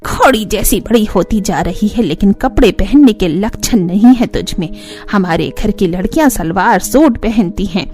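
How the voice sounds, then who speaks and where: A woman voicing a sharp-tongued, disapproving old grandmother who nags and taunts in a family cartoon. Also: mid-range voice, animated, moderate pace, soft background music, close to an everyday voice. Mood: scolding, taunting. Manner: taunting, nagging. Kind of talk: Hindi family cartoon voice acting.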